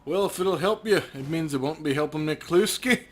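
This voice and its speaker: A man's voice: on the high side, normal speed, voice pushed up, close to an everyday voice. A man voicing an obliging, wry police officer while reading game dialogue aloud. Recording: music behind the voice.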